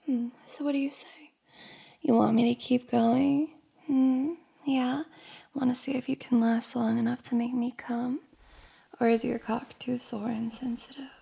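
A woman playing a teasing, dominant young roommate in an intimate audio roleplay, speaking slowly and often in a whisper. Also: on the high side, fairly even intonation, darkish tone, full character voice. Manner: slow, husky, often whispering.

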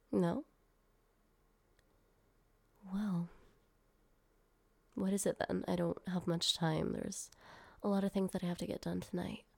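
A young woman playing a guarded young woman who confides in her new driver, speaking softly in a half-whisper to the listener. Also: lowish voice, vocal fry, dry recording. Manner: soft, hushed, half-whispered delivery. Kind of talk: one-sided audio roleplay addressing the listener.

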